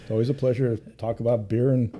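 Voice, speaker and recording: A man talking casually as a podcast guest, often halting and repeating his words. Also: medium pitch, little pitch movement, dark tone. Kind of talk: casual chat.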